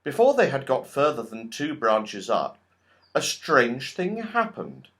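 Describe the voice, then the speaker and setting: A man reading a children's story as a narrator, with expressive, scripted storytelling. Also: medium pitch, measured pace. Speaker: audiobook reader.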